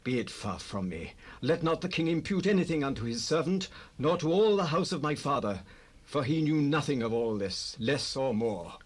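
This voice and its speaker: Earnest, loyal pleading priest. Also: male voice, mid-range voice, sing-song, normal speed. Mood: earnest protest. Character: loyal priest, protesting his innocence before the king. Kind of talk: dramatized King James Bible recording.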